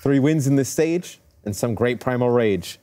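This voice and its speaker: Mid-pitched male esports panel analyst. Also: lively intonation, moderate pace, mellow. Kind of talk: casual panel commentary with playful rhymed story reading.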